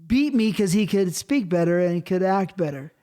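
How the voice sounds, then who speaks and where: A man in his fifties hosting a casual interview, blunt and profane, with quick interjections. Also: high-pitched, expressive, dark tone. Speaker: host.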